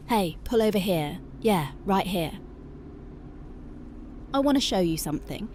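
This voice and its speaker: A woman voicing a tough but devoted young punk girlfriend in an ASMR roleplay, talking softly and intimately close to the mic, often dropping to a whisper. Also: mid-range voice, animated, measured pace, a little hiss or hum, close to an everyday voice. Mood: tender, dreamy. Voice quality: soft, intimate, partly whispered. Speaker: voice actress.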